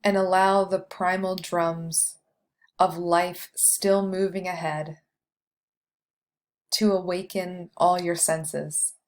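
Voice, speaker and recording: A woman guiding a meditation with scripted, poetic narration, delivered in a measured way with long pauses. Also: lowish voice, level tone. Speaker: meditation guide.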